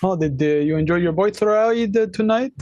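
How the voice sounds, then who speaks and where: A man's voice: sits high, moderate pace, a little mellow, no room sound, lo-fi sound. A man in a tabletop roleplaying game, speaking formally and courteously in character. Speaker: player.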